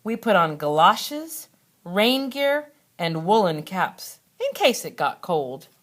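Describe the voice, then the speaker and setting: Woman teacher reading a picture book out loud, really animated, medium pitch, dramatic. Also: takes it slowly. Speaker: second grade teacher reading aloud. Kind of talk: expressive children's storybook narration.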